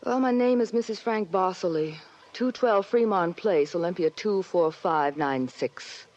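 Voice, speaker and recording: A woman's voice: fairly low pitch, a little slow, a little mellow, natural voice. An actress playing a mysterious, wistful married woman with a secret, earnest and restrained in 1940s radio drama style. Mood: guarded. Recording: muffled 1940s radio recording.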